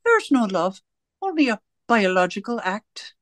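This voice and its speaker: A woman playing a cold, domineering interrogator in a radio drama, speaking in deliberate, commanding, rhetorical questions and pronouncements. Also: medium pitch, very animated, dry recording. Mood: controlled, imperious. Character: cold, domineering party interrogator and torturer, righteous and controlling. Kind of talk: theatrical radio drama acting.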